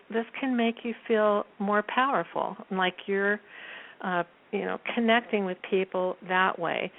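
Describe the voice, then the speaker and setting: A woman with a naturally soft voice chats through an interview, breaking into frequent laughs. Her voice comes down a tinny phone line with a narrow, thin sound. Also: mid-range voice. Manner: laughs often while talking. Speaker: guest, performance coach. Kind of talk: casual interview talk.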